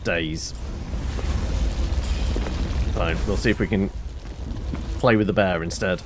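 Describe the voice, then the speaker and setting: A man commentating his gameplay, excitable, swearing and laughing at his mishaps. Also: sits low, slow pace, noisy background. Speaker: let's play host.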